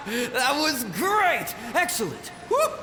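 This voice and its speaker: A man's voice: very high voice, pushed and bright, full character voice. A man voicing a battle-hungry, boisterous swordmaster spirit in a video game. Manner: boisterous, slow and drawn-out. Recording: echoey, faintly noisy game capture.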